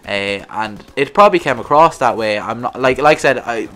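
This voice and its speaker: A man's voice: mid-range voice, animated, normal speed, a bit bright. A man in his twenties with a thick rural Irish accent, talking casually and rambling to camera as a gaming channel host. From Ireland. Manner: rambling, restarts, frequent "like I said".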